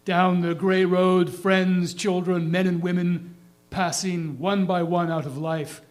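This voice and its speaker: Man as a higher-voiced, grandiloquent dead townsman. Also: little pitch movement. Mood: solemn, forlorn. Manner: declamatory, measured, grandiloquent. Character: proud small-town dead man delivering a solemn epitaph. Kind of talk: dramatic monologue, staged poetry reading.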